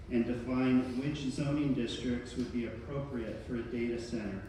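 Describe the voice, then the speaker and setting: A Texan man, a meeting official, reads an agenda item aloud, formal and steady. He speaks at a middle pitch from far off the mic. His words ring through a large reverberant chamber over clear background noise. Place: large echoey council chamber. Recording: echoey hall from far off with noise.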